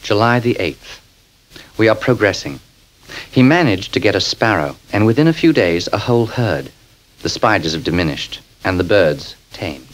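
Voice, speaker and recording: Calm, low-voiced young doctor. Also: male voice, low-quality recording. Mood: diary narration. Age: 20s. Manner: patient, firm, measured. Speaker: asylum doctor. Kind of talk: 1970s radio drama.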